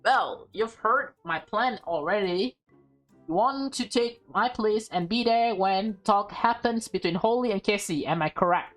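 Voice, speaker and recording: A woman acts out a stern young maid from a visual novel, guarded and frank. She speaks formally and scoldingly in a medium-pitched voice, with music behind her. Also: unhurried, lightly acted. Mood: questioning.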